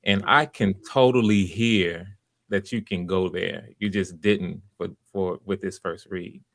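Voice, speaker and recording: A man's voice: low voice, fairly even intonation, measured pace, gravelly, dry recording, studio quality. A man hosting auditions and giving encouraging, conversational feedback, often restarting his phrases. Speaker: audition host and director.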